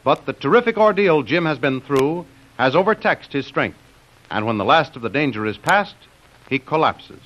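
A man's voice: fairly high pitch, a bit bright. A man announcing and narrating in a formal, declamatory 1930s radio style, reading dramatic scripted narration. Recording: dull old radio recording.

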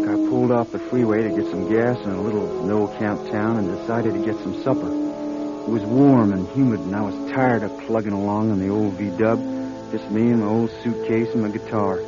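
An actor playing a weary, lonesome drifting musician in a radio drama, with folksy, colloquial speech. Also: male voice, lowish voice, natural voice. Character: weary, lonesome drifting musician telling his own story. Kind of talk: radio drama acting and first-person narration. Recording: dull radio recording with loud music.

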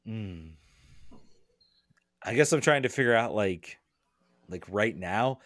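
Low-voiced man talking soccer, thinking aloud. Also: animated, takes it slowly, a bit bright. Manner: hesitant, thinks aloud, hedges. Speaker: podcast guest, soccer analyst. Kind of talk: casual sports discussion.

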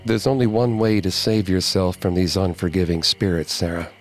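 A man playing a grave, deep-voiced spirit medium. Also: fairly even intonation, moderate pace, faint music behind, natural voice. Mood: solemn. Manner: grave, warning. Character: solemn male spirit medium giving grave warnings.

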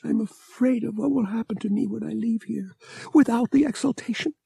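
A man's voice: extremely high pitch, a little fast, gravelly, warm tone, breathy edge, no room sound, voice pushed up, full character voice. A man voicing a frightened, clinging young woman in an audiobook, pleading and anxious. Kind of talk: audiobook character dialogue.

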